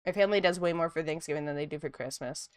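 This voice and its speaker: A woman's voice: low-pitched, racing, no echo, clean studio sound. A woman from Ontario, a sniffly art streamer chatting casually with her viewers while she draws. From Canada, Ontario. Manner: chatty, lively, reads chat aloud.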